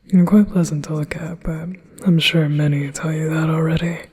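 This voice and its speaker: A man voicing a flattering, possessive male demon in a soft, intimate ASMR whisper. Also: fairly high pitch, normal speed, breathy edge. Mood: coaxing.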